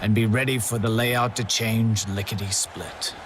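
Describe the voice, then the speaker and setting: An actor playing a suave, gleefully morbid contest master, briefing players in a video game with deliberate, silky menace. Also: male voice, lowish voice, fairly even intonation, very noisy, lightly acted. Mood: morbid pride. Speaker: contest host. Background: music.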